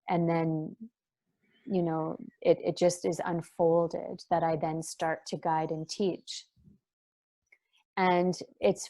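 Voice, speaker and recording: A woman with a soft voice, talking in a gentle, searching way as an interview guest, with many fillers and restarts. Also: lowish voice. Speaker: guest, breathwork trainer. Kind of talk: reflective interview talk.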